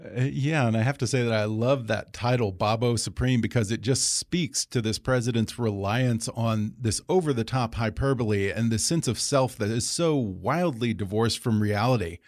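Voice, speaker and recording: A man's voice: mid-range voice, moderate pace, hardly any pauses, no room sound, clean studio sound. A man hosting an interview in an articulate, wordy, conversational way.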